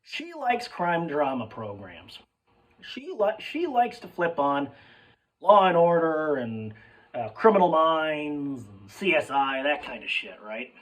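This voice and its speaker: A young man with a hoarse, cracking voice telling a rambling, sidetracked story in casual chat, swearing freely and calling his listeners folks. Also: fairly high pitch, big pitch swings, slow, far from the mic, rough recording. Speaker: sports fan vlogger.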